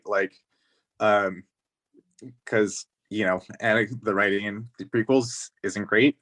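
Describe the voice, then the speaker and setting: A man's voice: fairly low pitch, fairly bright tone. A man talking casually as a podcast panelist, working through his points in a hesitant, circling, analytical way. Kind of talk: casual discussion of a TV series.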